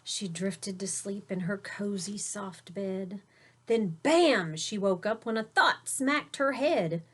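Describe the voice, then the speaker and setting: A woman's voice: medium pitch, slow pace, breathy edge. A woman reading a children's picture book aloud like a teacher, expressively, with simple explanations for young listeners. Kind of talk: storybook read-aloud with explanatory asides.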